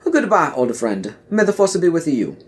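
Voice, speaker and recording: A man's voice: fairly high pitch, big pitch swings, moderate pace, slightly rough, voice pushed up. A man voicing Mario as a wise, kindly older Jedi master in a lilting, earnest way, for an audio play. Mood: fond, encouraging.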